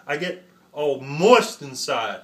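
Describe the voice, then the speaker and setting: A man's voice: a little high, big pitch swings, slow. A man impersonating an earnest, goofy American actor gushing dreamily about music. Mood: awed, dreamy.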